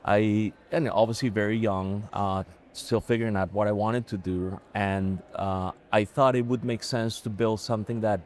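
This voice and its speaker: A man in his forties from Colombia speaks in a fairly low voice, answering questions thoughtfully and at a steady pace. He often stops to restart a phrase and fills gaps with a soft "uh". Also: a little hiss or hum. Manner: measured, thoughtful, with frequent "uh" and restarts. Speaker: company chief executive, podcast guest. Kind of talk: conversational interview answers. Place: live event recording on location.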